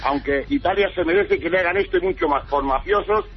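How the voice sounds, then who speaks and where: A man's voice: a little high, fast, husky. A man with an accent from Spain calling a radio show, blunt and wry in his casual opinions. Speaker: radio phone-in caller. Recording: dull radio call, music behind.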